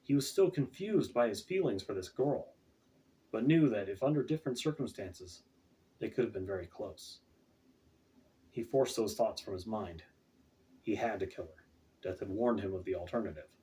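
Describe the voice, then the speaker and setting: A man's voice: on the low side, expressive, moderate pace, long pauses, dark tone. A man reading his own fantasy fiction aloud as scripted audiobook narration. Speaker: author reading own book. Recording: distant, off-mic home recording.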